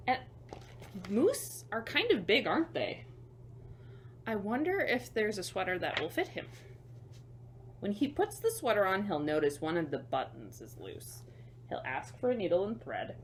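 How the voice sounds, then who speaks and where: A woman reading a picture book to children as a storyteller, expressive, with chatty, simple asides to young listeners. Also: mid-range voice, measured pace, long pauses, a little hiss or hum.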